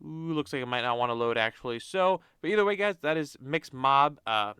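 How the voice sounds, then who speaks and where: Young guy w a stuffy cold nose, bright crisp voice, dry close sound, rambly with lots of likes and uhs. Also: medium pitch, expressive, fairly quick, no echo. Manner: rambling, many fillers and restarts. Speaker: crypto channel host. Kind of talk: casual screen-share walkthrough.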